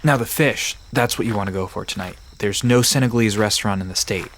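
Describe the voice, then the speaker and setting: A man's voice: on the low side, fast, a little hiss or hum, natural voice. An actor playing a friendly, knowledgeable young man who explains folklore in an easygoing, reassuring way in an audio drama. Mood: relaxed, reassuring. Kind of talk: conversational audio drama acting. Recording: music and crickets behind voice.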